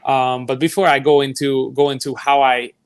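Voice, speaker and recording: A man's voice: mid-range voice, flat delivery, normal speed, smooth, no room sound. A man in his thirties from Hungary with a Hungarian accent, a youth soccer director answering interview questions in a thoughtful way, with many fillers and restarts. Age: 30s. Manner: thoughtful, explains at length with frequent fillers and restarts. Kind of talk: live interview conversation.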